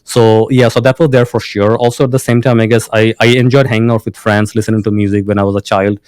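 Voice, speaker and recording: A man's voice: fairly low pitch, flat delivery, fast talker, a little mellow, clear, resonant tone, no echo. Guy talking casually, lots of restarts and fillers, dry sound. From Bangladesh. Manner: restarts often, many fillers. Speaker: founder and guest. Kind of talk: casual interview talk.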